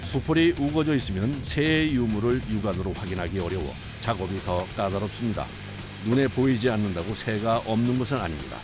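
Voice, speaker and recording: A man narrating a documentary in a calm, scripted and informative way. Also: on the low side, a little fast, over music. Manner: calm, even informative delivery.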